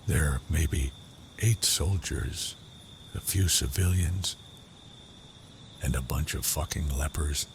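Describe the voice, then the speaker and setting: Guy acting super deep gravelly raider boss, slow n menacing, horror audio comic, crickets and noise behind. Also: exaggerated voice. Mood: grim, commanding. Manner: curt, commanding. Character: gruff, menacing male leader of an armed raider gang. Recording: clearly noisy track with night crickets.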